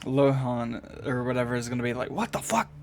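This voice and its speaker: A man's voice: mid-range voice, lively intonation, fairly quick. A man streaming a video game, reacting casually with exclamations and sardonic asides.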